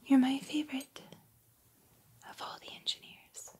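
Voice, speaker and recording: A woman voicing a gentle, encouraging combat training robot in a soft, breathy, measured ASMR roleplay whisper. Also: high voice, little pitch movement. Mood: gentle, affectionate.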